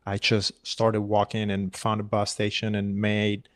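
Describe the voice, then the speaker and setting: Cuban filmmaker guest, man in his thirties, flat even delivery, earnest. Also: fairly low pitch, measured pace, no echo, clean studio sound. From Cuba. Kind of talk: casual interview storytelling.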